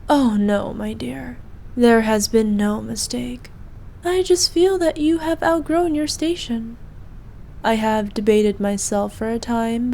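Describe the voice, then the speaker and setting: A woman's voice: a little high, vocal fry, mellow, a little hiss or hum. A woman playing an evil, imperious queen in a scripted roleplay, speaking in a haughty, formal, commanding manner. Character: evil imperious queen, cruel to subjects, doting on her knight. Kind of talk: scripted ASMR roleplay acting.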